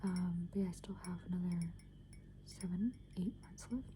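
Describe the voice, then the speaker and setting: A woman in her twenties whispering casual, meandering ASMR thoughts in a hushed, unvoiced voice. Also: lowish voice, moderate pace. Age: 20s. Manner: casual, meandering, thinking aloud. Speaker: ASMR creator. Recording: clearly noisy background hiss and ambience.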